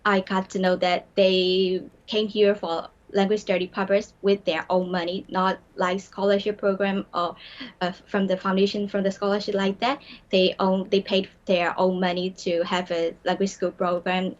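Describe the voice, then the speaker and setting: A young woman from Myanmar answers interview questions in English with a Burmese accent. She talks haltingly, with many fillers and restarts, in a flat, even tone. The sound is a little muffled. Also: medium pitch. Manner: hesitant, many fillers, halting non-native phrasing. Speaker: guest. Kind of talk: broadcast interview.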